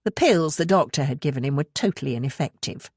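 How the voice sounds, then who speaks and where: A woman with a husky voice reading a novel aloud in a measured, expressive storytelling manner. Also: deep voice, dry recording, studio quality. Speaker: audiobook narrator. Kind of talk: scripted fiction narration.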